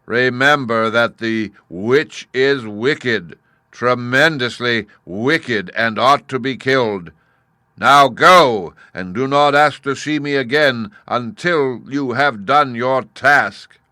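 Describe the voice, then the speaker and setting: A man voicing a great disembodied head that rules the land, slow and booming. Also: bright, forceful tone, no echo, studio quality. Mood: stern. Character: booming disembodied giant head, stern ruler.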